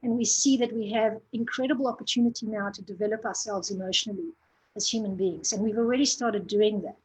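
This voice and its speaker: A woman's voice: medium pitch, fairly even intonation, fast talker, darkish tone, husky, rough recording. A woman from Zimbabwe speaking as a panelist in a lofty, emphatic, uplifting way. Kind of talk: inspirational conference talk.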